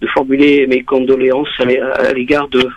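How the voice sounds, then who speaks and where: A man calling in to a radio show on a muffled phone line, talking in halting restarts as he airs a grievance. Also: medium pitch, level tone, slight background noise. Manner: hesitant, restarts often, then rants. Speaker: radio caller. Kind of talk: call-in radio talk.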